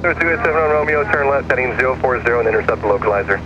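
Male approach controller, rapid delivery, clipped radio phrasing, dull noisy aircraft radio. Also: mid-range voice, expressive. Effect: aircraft radio transmission. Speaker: approach air traffic controller. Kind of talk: clipped air traffic radio instructions. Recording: muffled, noisy aircraft radio with engine drone. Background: aircraft cabin noise.

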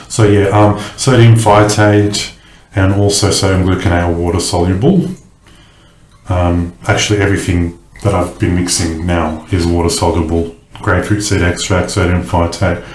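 A man casually explaining his soap making in a kitchen, relaxed and chatty with many pauses and ums. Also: low voice, echoey room. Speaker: soap maker. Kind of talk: casual how-to narration.

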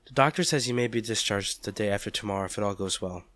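A man's voice: fairly low pitch, quick pace, fairly bright tone, dry recording, lightly acted. A man playing a devoted, protective partner in an intimate audio drama, tender and reassuring as he comforts an injured loved one. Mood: gentle, coaxing. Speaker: audio roleplay performer.

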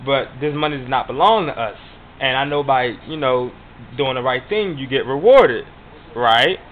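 A man's voice: medium pitch, moderate pace. A man making a prank phone call as a customer, insistently repeating himself and talking over the other person. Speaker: prank caller. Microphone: telephone call. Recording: tinny phone line with faint noise.

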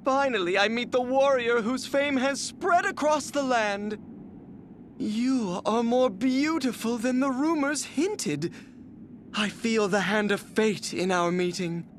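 An actor playing a vain, flamboyant warrior obsessed with beauty, with refined, flowery, effeminate delivery, in a video game. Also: male voice, very high voice, lively intonation, slow pace, a little hiss or hum, full character voice. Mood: tender, admiring. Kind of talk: theatrical video game character acting.

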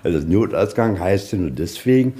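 Low, mellow man guiding a tour. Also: lively intonation, brisk. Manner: plain-spoken, explanatory, poses questions and answers them. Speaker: museum tour guide. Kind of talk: informal guided-tour explanation. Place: indoors, museum anteroom.

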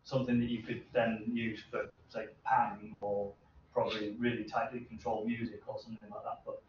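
A man in his thirties answering questions at an informal technical seminar, haltingly thinking aloud. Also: fairly low pitch, little pitch movement. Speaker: researcher presenting at a seminar. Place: medium seminar room with hard walls. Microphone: distant camera microphone. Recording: roomy, distant, muffled, noisy room recording.

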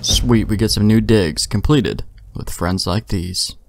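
Dude on a let's play, lowish voice, wry and sweary, faint music behind. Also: male voice, unhurried, creaky. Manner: wry, joking, profane. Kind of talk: casual game commentary.

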